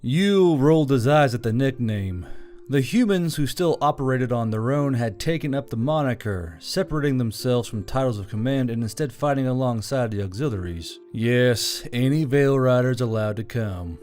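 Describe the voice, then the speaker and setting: A man's voice: mid-range voice, lively intonation, moderate pace, darkish tone. A man narrating a story in a scripted audiobook style.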